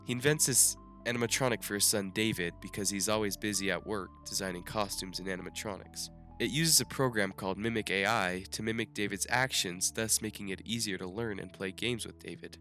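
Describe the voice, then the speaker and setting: Young male gaming commentator, nasal and stuffed up, lowish but bright, faint music bed. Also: brisk, few pauses. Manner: reading from a script with small restarts. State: stuffy nose after the flu. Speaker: gaming YouTuber. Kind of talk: scripted game theory commentary.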